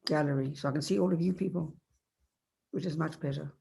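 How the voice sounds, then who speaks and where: A meditation teacher with a cold, sounding a bit croaky, explaining in a rambling, self-correcting way over a video call. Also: female voice, deep voice, fairly quick, dark tone, slight background noise, poor audio.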